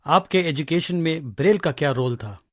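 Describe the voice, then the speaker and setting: An Indian man asking measured questions as a radio interviewer. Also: on the high side, animated, no echo.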